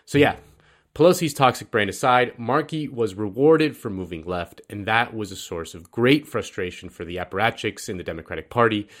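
Man, lowish voice, sardonic political commentary host, mocking tone. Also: normal speed, a bit bright.

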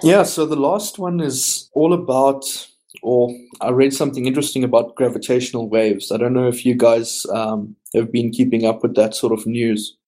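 A man, a software team lead and guest on a casual podcast, explaining at length with frequent ums. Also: medium pitch, steady tone, moderate pace.

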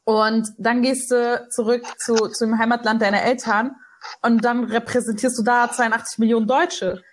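Lively young woman from Berlin. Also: on the high side, normal speed, slight background noise. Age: 20s. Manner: lively, colloquial, laughs easily. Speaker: podcast guest. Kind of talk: casual podcast chat.

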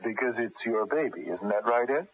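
An actor playing a measured investigator who reasons in a conciliatory way in a radio drama. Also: male voice, mid-range voice, expressive, lightly acted. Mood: placating. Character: measured, diplomatic male investigator with legal mind. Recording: muffled, hissy 1970s radio broadcast.

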